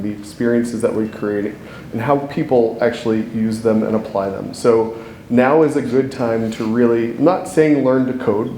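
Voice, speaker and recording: A man on a conference panel, explaining his point in a steady, orderly way. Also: medium pitch, slight background noise. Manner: steady, explanatory, wraps up neatly. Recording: echoey conference room.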